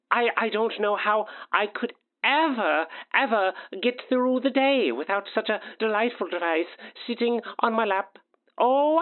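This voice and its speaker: A woman playing a gushing, flustered customer in a comedy testimonial, halting and repeating herself, over a phone-like line. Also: medium pitch. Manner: halting, stammering, repeats phrases. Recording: tinny phone-line sound.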